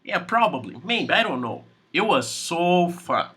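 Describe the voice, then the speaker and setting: A Brazilian man with a Portuguese accent teaching English on a video call, speaking in slow, simple sentences and repeating words. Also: on the high side, sing-song, a bit bright, breathy edge. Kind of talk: online English class conversation.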